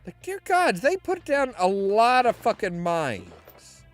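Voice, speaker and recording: High-pitched, animated man doing game commentary. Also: slow, soft background music. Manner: profane, slangy. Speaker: video game streamer. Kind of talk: casual gameplay commentary.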